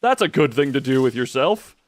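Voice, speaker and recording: A man chatting casually on a gaming livestream, rambling and expressive with mildly crude exclamations. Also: mid-range voice, fairly quick, no room sound. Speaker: live streamer.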